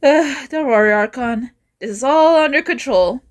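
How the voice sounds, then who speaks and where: A woman's voice: high-pitched, moderate pace. A woman acting out scripted fantasy game dialogue as an amateur voice actor. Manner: acted, reading scripted lines.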